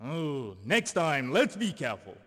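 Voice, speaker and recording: A man voicing an excitable, exclamatory fishing guide who announces and cheers the player on in an arcade video game. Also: on the high side, measured pace, long reverb tail, full character voice. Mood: encouraging. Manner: exclamatory, theatrical.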